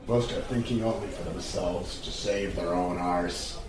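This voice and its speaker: An actor playing a blunt, fatalistic, world-weary soldier back from duty in an audio drama. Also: male voice, low voice, unhurried. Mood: weary, cynical. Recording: distant, very echoey, crowd noise behind. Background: pub crowd chatter.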